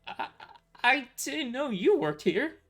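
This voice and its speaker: A man's voice: very high-pitched, sing-song, unhurried, fairly bright tone, pitched above the performer's own voice, full character voice. A man voicing a scruffy, frightened gang survivor who stammers nervously, in an amateur audio drama. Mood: terrified, stammering.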